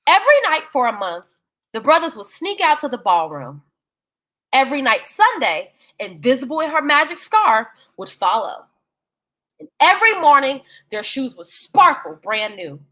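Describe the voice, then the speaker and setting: Female storyteller for kids' bedtime tales. High, bright voice, expressive and folksy, big swings in pitch. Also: normal speed. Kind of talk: animated children's bedtime story reading.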